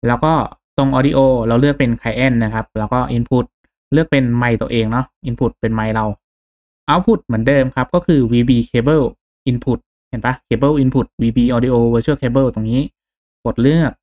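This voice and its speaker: A man giving an instructional, step-by-step software tutorial in a casual and polite way. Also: mid-range voice, steady tone, unhurried. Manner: instructional, frequent polite particles. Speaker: tutorial host.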